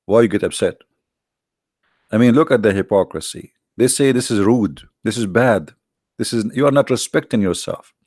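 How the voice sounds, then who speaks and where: Host, fairly low voice, dry close sound, scornful and emphatic delivery. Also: male voice, moderate pace, darkish tone, slightly rough, dry recording. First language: Arabic. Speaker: online debate host. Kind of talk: combative commentary to viewers.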